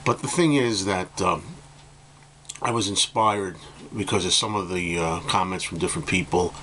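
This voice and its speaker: Low-voiced, slightly hoarse middle-aged man. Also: moderate pace, a bit bright, slight background noise. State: slightly hoarse, just woken up. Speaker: music vlogger reviewing albums. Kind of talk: casual opinion talk to camera. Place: indoor room with bookcases at home.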